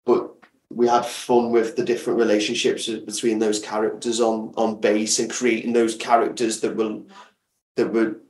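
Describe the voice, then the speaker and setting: A man's voice: on the low side, flat delivery, moderate pace, darkish tone. A man speaking as a guest in a casual interview, enthusiastic and emphatic, often repeating words for stress. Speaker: guest, audio drama creator. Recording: roomy echo, distant from the mic.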